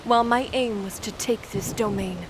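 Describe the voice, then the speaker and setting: An actress playing a ruthless, coldly calculating water princess in an audio drama. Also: female voice, a little high, fairly even intonation, moderate pace, noisy background, close to an everyday voice. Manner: cold and calculating.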